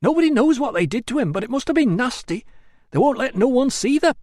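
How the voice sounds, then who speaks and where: A man voicing a chatty, nosy male barber who talks in rough, colloquial grammar. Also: very high voice, expressive, fast talker, darkish tone, no echo, clean studio sound, pitched above the performer's own voice. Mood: gossipy. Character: chatty gossiping male barber, middle-aged.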